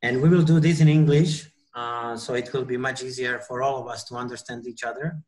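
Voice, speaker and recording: Um homem com sotaque eslovaco apresenta um webinar numa videochamada. Fala inglês de forma hesitante, com pequenos erros, e a voz tem altura média. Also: male voice, mid-range voice, steady tone, darkish tone. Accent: Slovak. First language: Slovak. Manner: slow, halting English with small slips. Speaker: webinar host. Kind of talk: webinar interview. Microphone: video call.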